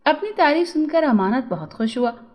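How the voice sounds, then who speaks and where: Woman telling a scripted moral story, really high voice, storyteller narration. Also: expressive, moderate pace, darkish tone.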